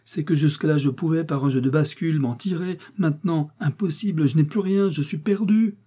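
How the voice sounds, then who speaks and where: Man voicing a ruined husband, desperate, guilty, agitated, husky. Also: fairly high pitch, fairly quick, dark tone, voice pushed up. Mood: despairing. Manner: agitated, despairing.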